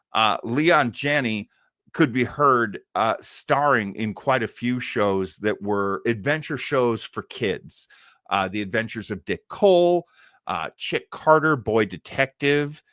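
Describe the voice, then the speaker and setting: A man's voice: mid-range voice, a bit bright, dry recording, clean studio sound. A man hosting a live stream about vintage radio, chatting casually and enthusiastically in a loose, rambling way. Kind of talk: casual informal commentary, reading live comments.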